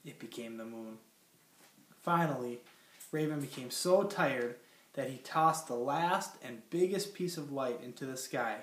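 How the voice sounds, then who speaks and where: A man's voice: medium pitch, unhurried, fairly bright tone. A young man from Minnesota with a cold, teaching a classroom lesson and reading a story aloud in a plain, teacherly way with many fillers. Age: young adult. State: has a cold. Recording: roomy sound from far off.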